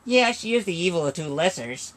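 A man's voice: sits high, sing-song, a little fast, noisy background. A man voicing a cheeky, eager boy puppet who wisecracks in a comic ventriloquist act. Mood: wisecracking.

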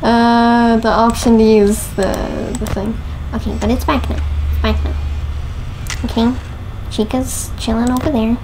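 Slow-talking young woman playing a horror game. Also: a little high, flat delivery, noisy background. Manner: playful, talks to viewers and taunts the game characters. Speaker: gaming video host. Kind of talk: horror game let's play commentary. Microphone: headset.

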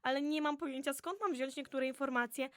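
A woman's voice: high voice, a little fast, fairly bright tone, no room sound, studio quality. A woman playing an anxious, pleading and effusive office clerk in an audio drama. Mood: worried. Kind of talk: amateur audio drama acting.

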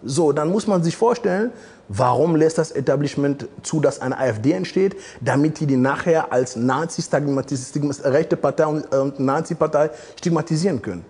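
Husky-voiced man, blunt and provocative. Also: on the high side, normal speed, darkish tone. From Democratic Republic of the Congo. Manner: blunt, provocative, frequent hesitations. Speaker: interview guest. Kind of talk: studio interview. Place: studio set with red curtains. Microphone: lapel mic.

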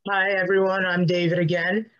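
A man's voice: high-pitched, level tone, a bit bright. Young man on a muffled video call. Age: 20s. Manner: earnest, measured with filler pauses. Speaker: student panelist. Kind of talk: online panel discussion. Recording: slightly muffled call audio.

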